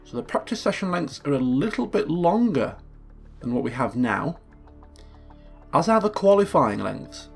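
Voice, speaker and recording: A man hosting a casual gameplay commentary, thinking aloud and correcting himself as he goes, his voice a little croaky from just waking up. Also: a little high, sing-song, moderate pace, faint music behind. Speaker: let's play host.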